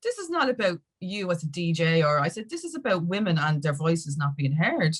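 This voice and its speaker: A woman's voice: fairly low pitch, sing-song, fairly quick. A middle-aged Irish woman chatting candidly as an interview guest, telling her story in an emphatic, rambling way and acting out quotes. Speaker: guest, music industry campaigner.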